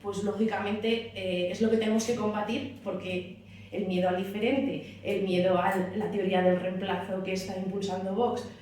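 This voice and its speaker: A woman's voice: mid-range voice, level tone, moderate pace, darkish tone. A woman speaking at a political meeting, stumbling over restarts and fillers as she works through her point. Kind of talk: public talk. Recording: roomy echo, distant mic, faint hiss.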